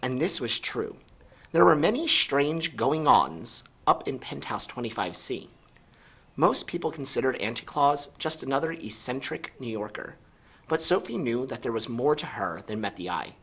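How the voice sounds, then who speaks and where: A man reads a children's story aloud with expressive, animated narration. His voice is husky with a forceful edge, and his pitch moves up and down a great deal. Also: normal speed. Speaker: storybook reader.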